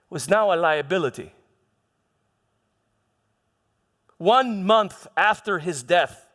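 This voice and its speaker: A man playing a troubled Roman governor who recounts his past in a halting dramatic monologue, repeating words and pausing for emphasis. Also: sits high, pushed and bright, exaggerated voice. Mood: anxious recounting. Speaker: church dramatist performing a monologue. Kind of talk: first-person dramatic monologue in church. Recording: echoey hall with long reverb.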